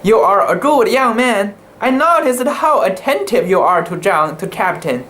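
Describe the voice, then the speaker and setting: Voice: medium pitch, big pitch swings, normal speed, a bit bright. A narrator voicing a cheerful, kindly old wife, lilting. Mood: earnest, fond.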